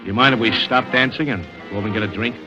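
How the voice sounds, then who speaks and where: Actor as restless smooth-talking prowler, vintage radio. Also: male voice, medium pitch, fast talker, natural voice. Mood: insistent, smooth. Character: restless, smooth-talking male dance-hall prowler and necklace thief. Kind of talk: 1940s radio drama acting. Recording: muffled vintage radio recording, music behind.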